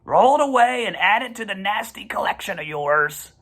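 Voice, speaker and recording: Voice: mid-range voice, animated, moderate pace, a bit bright, pitched above the performer's own voice. A performer voicing a proud, matter-of-fact female goose in a children's storybook reading. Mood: dismissive. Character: polite, brisk, proud female barnyard goose.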